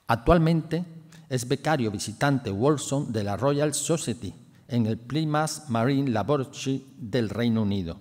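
A man introduces a guest speaker, reading out his bio and adding small offhand asides. His voice sits at a middle pitch, and the hall leaves a long reverb behind his words. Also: a little mellow. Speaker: presenter of the speaker. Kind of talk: formal introduction. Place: lecture hall with audience. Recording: hall reverb from a lecture room.

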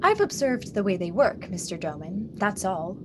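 A woman's voice: mid-range voice, sing-song, normal speed, lightly acted. An actress playing a curious, well-bred young lady in a radio drama, polite and formal. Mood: polite, determined. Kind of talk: stage-style radio drama acting. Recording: noisy radio drama recording, constant hiss.

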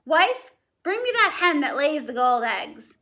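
A woman's voice: big pitch swings, unhurried, full character voice. Woman doing a bellowing storybook ogre. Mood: booming. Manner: booming, shouted chant. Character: huge bellowing male ogre, greedy and gruff.

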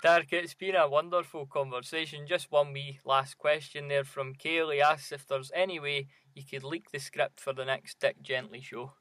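A man's voice: on the high side, fairly even intonation, moderate pace, few pauses, fairly bright tone. A man interviewing casually as a podcast host.

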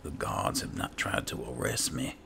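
Very deep, gravelly man narrating a game. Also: wildly swooping pitch, normal speed, background noise. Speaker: gamer playing in character. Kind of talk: casual roleplay gameplay narration.

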